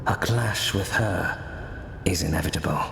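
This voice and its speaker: An actor playing a grave former monk turned spy, speaking in a formal, deliberate, solemn way in a video game cutscene. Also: male voice, low-pitched, creaky, reverberant, big-room sound, noisy background, full character voice.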